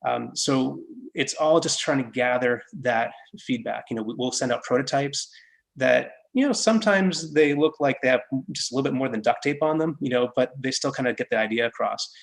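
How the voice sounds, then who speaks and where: A man's voice: medium pitch, brisk, vocal fry. A man from Massachusetts with no regional accent, a product development head, talking casually on a video call with many fillers and restarts.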